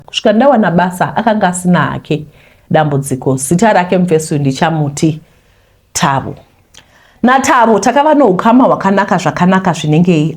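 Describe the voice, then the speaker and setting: A woman from Zimbabwe, a host who chats animatedly with viewers and then reads a confession aloud. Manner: animated, talks straight to viewers, voice leaps high when scolding. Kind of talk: chatty intro, then reading a confession aloud.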